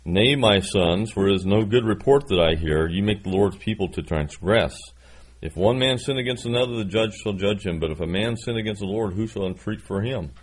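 A man's voice: on the low side, brisk, breathy edge, low-quality recording. A man in his sixties preaching a sermon, reading scripture and adding folksy, self-deprecating asides. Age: 60s.

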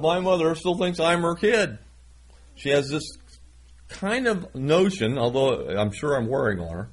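A man's voice: on the high side, slightly rough. A man in his sixties preaching a sermon, reading scripture and adding folksy, self-deprecating asides. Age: 60s.

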